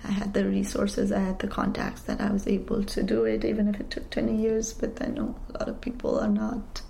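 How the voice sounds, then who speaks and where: A woman answering an interview in a measured, thoughtful way, now and then dropping into soft, whispery stretches. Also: mid-range voice, little pitch movement, vocal fry, slight background noise. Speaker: interviewee, community worker.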